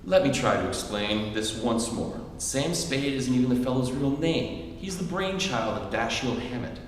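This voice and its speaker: Guy doing a dry exasperated radio-comedy husband, lively pitch, distant and echoey. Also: moderate pace, close to an everyday voice. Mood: exasperated explaining. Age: middle-aged. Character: long-suffering, deadpan husband of a scatterbrained wife. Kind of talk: 1940s radio comedy acting on stage. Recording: echoey hall, distant mic, faint noise.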